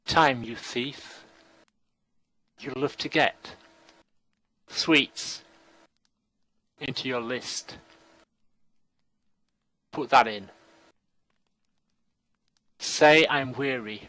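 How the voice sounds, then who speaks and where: A man performing a poetry reading in deliberate short phrases with long pauses. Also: mid-range voice, sharp tone, no echo, rough recording.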